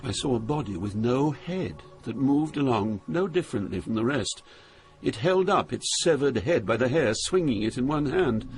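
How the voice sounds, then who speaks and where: A man's voice: mid-range voice, expressive, natural voice, rough recording. A man as a solemn poet narrator, music behind. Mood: horrified, intense. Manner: solemn, measured, dramatic. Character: sorrowful male poet narrating his journey through Hell. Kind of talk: dramatized scripted narration of epic poem.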